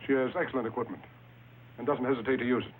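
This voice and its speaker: An actor playing a tough undercover customs agent, terse, dry and hard-boiled, in old noir radio style on a thin, hissy recording. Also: male voice, medium pitch, steady tone, rapid-fire, phone-quality sound, lightly acted. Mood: dry, cool. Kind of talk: mid-century film noir radio acting.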